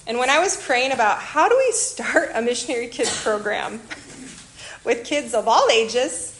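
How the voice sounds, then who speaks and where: Chatty Chicago-accented woman, higher voice. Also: unhurried. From Illinois, USA. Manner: chatty, animated, self-deprecating asides. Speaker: missionary kids program leader. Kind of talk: informal church presentation to a group.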